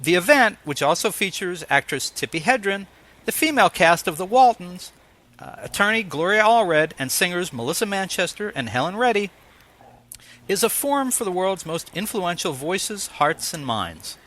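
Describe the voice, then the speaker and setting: Animated, high-pitched male interview host. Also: normal speed. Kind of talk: scripted introduction and ads, then interview chat.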